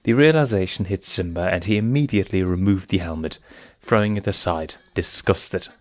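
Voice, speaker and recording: A man's voice: low voice, moderate pace. A man reading fan fiction aloud as scripted story narration. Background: faint music.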